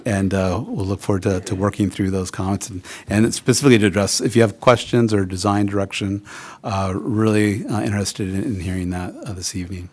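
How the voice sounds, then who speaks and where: A man with a fairly low voice responds to a review board on behalf of a project. He talks in a halting way, filling pauses with uh and um and restarting his phrases. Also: a little mellow. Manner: halting, many uhs and restarts. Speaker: project applicant representative. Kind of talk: addressing a public board meeting. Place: council meeting room.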